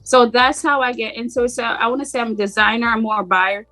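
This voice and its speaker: A middle-aged woman with a slight Jamaican accent, talking about herself in a relaxed, rambling way as an interview guest. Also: on the high side, fast talker, soft background music. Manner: relaxed, rambling, frequent "like" fillers. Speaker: boutique owner guest. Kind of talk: casual interview chat.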